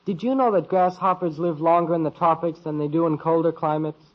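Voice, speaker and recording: An American actor playing a wisecracking, grumbling teenage son in a 1950s radio sitcom. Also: male voice, a little high, fairly even intonation, a little fast, natural voice. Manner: grumbling, cheeky. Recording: dull old radio recording.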